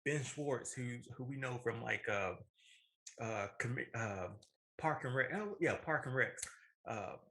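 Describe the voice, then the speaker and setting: Male podcast co-host, bright forceful voice at middle pitch, casual and emphatic storyteller. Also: lively intonation, a little slow. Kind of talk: casual opinionated chat about films.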